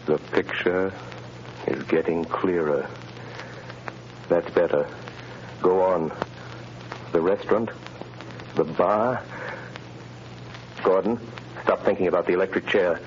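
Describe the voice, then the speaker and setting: A man playing a mysterious invisible crime fighter, speaking in measured, authoritative commands in 1930s radio drama style. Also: low-pitched, fairly even intonation, muffled recording. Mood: commanding. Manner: measured, hypnotic, authoritative instructions. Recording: scratchy, hissy 1930s radio broadcast.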